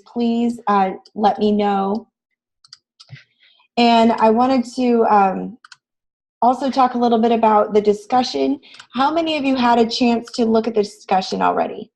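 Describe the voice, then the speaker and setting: A woman with a raspy voice, hoarse from a cold, teaching a live online class in an encouraging, conversational way. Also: on the high side, a little mellow. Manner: encouraging, addresses students with frequent questions. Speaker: online graphic design instructor. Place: online class session, screen shared.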